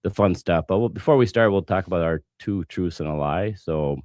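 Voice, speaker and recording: Hoarse guy with a cough hosting a money podcast, really low voice, dry sound. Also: brisk, no echo. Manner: relaxed, jokey, rambling. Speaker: podcast host. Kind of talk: casual finance chat.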